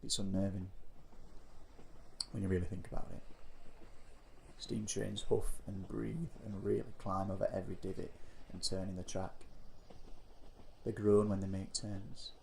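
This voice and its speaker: Low, gravelly male storyteller, even delivery. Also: measured pace, pauses often, slight background noise, rough recording. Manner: descriptive, reflective storytelling. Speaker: narrator of an audio story, playing a scout leader. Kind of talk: scripted first-person audio drama narration.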